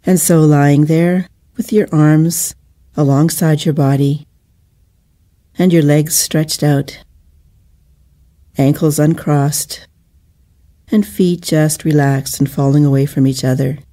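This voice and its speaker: Very dark, mellow woman guiding meditation. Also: low-pitched, fairly even intonation. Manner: soothing, measured, gently pausing phrasing. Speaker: meditation guide. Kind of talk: guided meditation.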